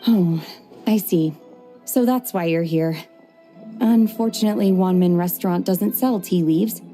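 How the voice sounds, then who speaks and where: A woman voicing a calm, polite, aloof young woman warrior who speaks in a measured way in a game cutscene. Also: lowish voice, soft background music, close to an everyday voice.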